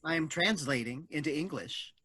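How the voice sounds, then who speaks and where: A woman's voice: low-pitched, lively intonation, normal speed, a bit bright, breathy edge, no echo, exaggerated voice. A woman voicing an officious, literal-minded robot assistant in a stilted, formal manner for a staged comedy reading. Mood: mechanical.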